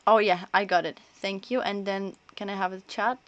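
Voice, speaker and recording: A woman's voice: medium pitch, steady tone, normal speed, no room sound, muffled recording. A woman doing casual live gameplay commentary, chatting and thinking aloud as she streams. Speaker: game streamer.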